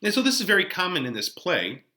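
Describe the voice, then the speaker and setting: A man's voice: high-pitched, huge pitch swings, fast, sharp tone. A man giving informal lesson commentary on a play as a teacher, explaining it in colloquial paraphrase. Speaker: literature teacher.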